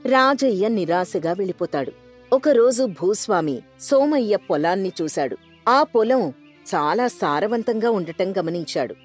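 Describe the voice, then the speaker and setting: A woman's voice: medium pitch, animated, a little slow, faint music behind. A woman narrator reading a scripted moral story.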